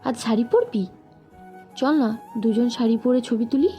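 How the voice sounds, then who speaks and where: Chatty young best-friend type, played by a woman, high yet soft-toned, persuasive, audio romance over music. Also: a little slow, natural voice. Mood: eager, admiring. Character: lively, coaxing young woman, the heroine's close companion. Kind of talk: dramatised audio love story. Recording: background music under the voice.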